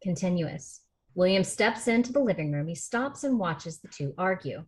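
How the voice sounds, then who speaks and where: A woman's voice: on the low side, expressive, moderate pace, a little mellow. A woman reading stage directions as the narrator at a film script table read. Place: remote video call rehearsal.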